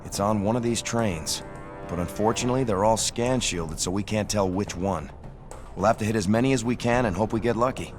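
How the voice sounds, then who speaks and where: An actor playing a serious, dutiful young officer giving a measured mission briefing in a video game. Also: male voice, lowish voice, music in the background, lightly acted. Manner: measured, formal.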